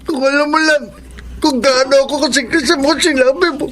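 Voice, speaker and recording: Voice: high voice, fairly even intonation, a little fast, fairly bright tone, slight background noise. A performer playing a hesitant, sincere and grateful teenage younger brother in a radio drama, with a Filipino accent. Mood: grateful, hopeful. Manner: timid, sincere. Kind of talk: Tagalog radio drama acting.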